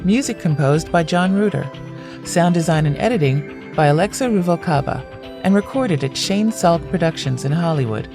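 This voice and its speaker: A woman's voice: on the low side, animated, normal speed. A woman reading scripted end credits for an audio drama as its announcer. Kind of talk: scripted narration. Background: music.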